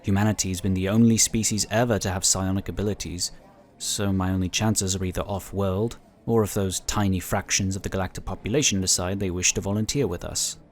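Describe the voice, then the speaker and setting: An actor playing an amiable, knowledgeable psionic inquisitor who explains things in a talkative, encouraging way in an audio drama. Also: male voice, low voice, steady tone, fairly quick, close to an everyday voice. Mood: friendly.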